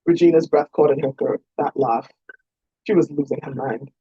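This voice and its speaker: A woman's voice: a bass voice, normal speed, slightly rough. A woman author reading her own romance novel as scripted narration. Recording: roomy, dull lo-fi sound.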